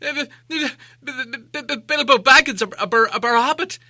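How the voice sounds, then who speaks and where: A timid little storybook hobbit, frightened and stammering. The voice is high, bright and breathless, always pleading. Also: male voice, moderate pace, no echo, studio quality, exaggerated voice. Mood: terrified. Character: small, timid, terrified male hobbit.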